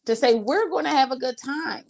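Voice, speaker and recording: A woman's voice: sits high, moderate pace, vocal fry, a crowd in the background. A woman co-hosting a casual review show, talking bluntly and profanely with mock-outraged asides. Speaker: podcast co-host. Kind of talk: casual reality TV review chat.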